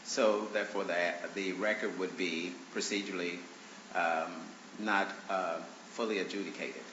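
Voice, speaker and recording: A man with a low voice, congested and a little deeper than usual from allergies, argues his case in a courtroom. He talks haltingly and earnestly, with a forceful edge, through fillers and restarts. The sound is roomy and dull, picked up by a courtroom stand microphone. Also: fairly even intonation. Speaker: plaintiff arguing his own motions. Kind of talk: courtroom argument. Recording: roomy, dull courtroom recording with faint hiss.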